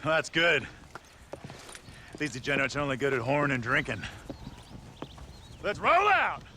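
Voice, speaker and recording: A man's voice: a little high, wildly swooping pitch, normal speed, noisy background. A man playing a bossy army officer in a video game, barking commands at soldiers. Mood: brisk, approving. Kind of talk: video game cutscene acting.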